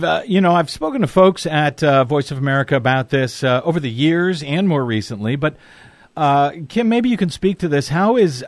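A man hosting a conversational radio interview, asking questions in a halting way with restarts. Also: on the high side, dry recording. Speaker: radio show host.

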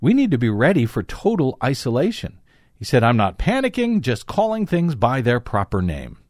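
A man's voice: mid-range voice, wildly swooping pitch, normal speed, darkish tone, no room sound. A man hosting a talk program, reading news excerpts aloud and commenting on them in an emphatic way. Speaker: talk show host and commentator.

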